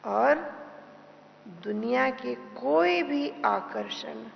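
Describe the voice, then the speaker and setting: A woman giving a devotional class as a spiritual teacher, speaking deliberately with pauses and repeated phrases, in a dull, noisy hall recording. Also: on the high side, lively intonation, gravelly, soft background music.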